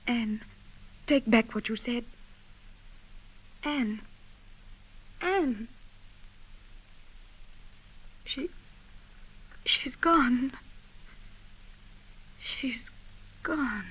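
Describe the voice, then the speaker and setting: A dull 1930s radio recording carries a devoted, anxious woman. Her voice sits fairly high, tender and pleading in a melodramatic style. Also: very slow, pauses often, a bit bright, breathy edge, full character voice. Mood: grieving.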